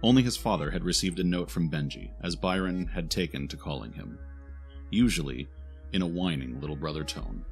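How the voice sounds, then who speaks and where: A man's voice: sits low, lively intonation, a little fast. A man reading scripted narration for a fantasy audio drama. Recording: music behind the narration.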